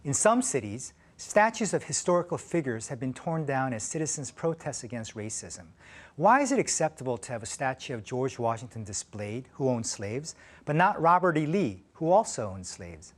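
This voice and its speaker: A man's voice: mid-range voice, very animated, moderate pace. A man hosting a TV interview, reading prepared questions in a polite, formal manner. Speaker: TV interview host.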